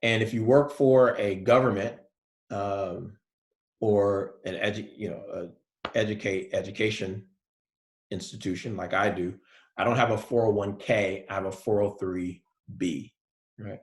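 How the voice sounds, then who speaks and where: A male college professor giving a relaxed, soft-spoken lecture online, with many fillers. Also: on the low side, unhurried, echoey room.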